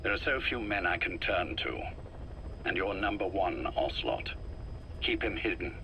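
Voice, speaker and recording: Grave, low-voiced intelligence operative. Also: male voice, sing-song, normal speed, pushed and bright, slight background noise, heavily acted, poor audio. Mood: grave resolve. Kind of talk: dramatic video game acting, covert briefing.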